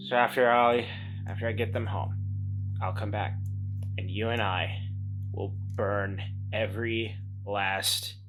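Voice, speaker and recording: Low-pitched, earnest male weasel played by a man. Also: fairly even intonation, over music, close to an everyday voice, poor audio. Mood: determined, sincere. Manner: halting, earnest, low-key. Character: stubborn, scheming male weasel, protective of children.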